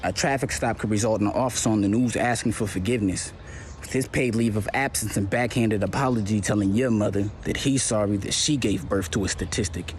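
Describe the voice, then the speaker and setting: A man with a fairly low voice performs spoken-word poetry. His phrasing is rhythmic and forceful, over faint ambient noise.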